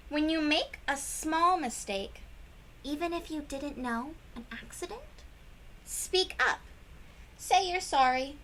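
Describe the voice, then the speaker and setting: A woman reading a picture book aloud to young children, with expressive emphasis and questions to her listeners. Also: sits high, slow, a little hiss or hum, low-quality recording. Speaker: children's read-aloud host.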